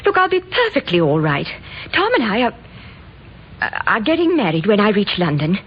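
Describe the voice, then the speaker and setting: A woman's voice: medium pitch, wildly swooping pitch, normal speed, husky. An actress playing a young woman leaving home, reassuring and a little impatient, in an old radio drama. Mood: exasperated, reassuring. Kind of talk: 1950s radio drama acting. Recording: muffled old radio recording, faint noise.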